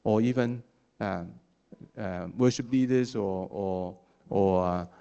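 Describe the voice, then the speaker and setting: A man who is not a native English speaker preaching a sermon, talking in a halting way with many restarts and fillers and a habit of ending points with "okay?". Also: lowish voice, gravelly, mellow, smooth, lo-fi sound.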